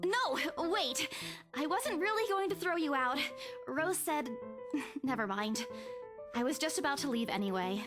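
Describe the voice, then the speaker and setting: A feisty young woman from a visual novel game, high in pitch and very animated. She teases and scolds with emphasis. Music plays behind her voice. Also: measured pace. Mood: backtracking.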